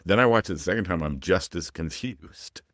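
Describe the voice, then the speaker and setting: A man's voice: low voice, expressive, normal speed, fairly bright tone, no echo, studio quality. A man co-hosting a film podcast while he has a cold, chatting casually and rambling with restarts. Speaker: podcast co-host. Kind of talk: casual chat.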